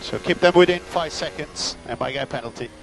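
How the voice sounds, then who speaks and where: A man's voice: fairly high pitch, a little fast, vocal fry, background noise. A man working as a race engineer, giving terse, clipped instructions and data over motor racing team radio. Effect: team radio transmission.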